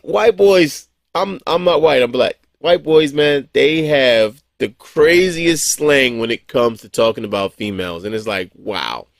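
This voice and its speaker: A man in his forties talks off the cuff in a casual vlog monologue, blunt and heavy on profanity. He stumbles into restarts and breaks into short chuckles as he goes. His voice is mid-pitched and sounds dry and close, with no room echo. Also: measured pace, gravelly, hardly any pauses. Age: 40s. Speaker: vlogger.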